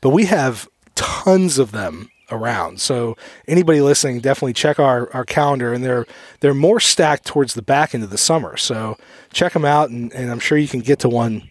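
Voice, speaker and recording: A man's voice: mid-range voice, moderate pace, dry recording. A man in his thirties chatting casually on a podcast, joking and laughing as he tells stories. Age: 30s. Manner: joking, laughs often, animated storytelling. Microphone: studio microphone.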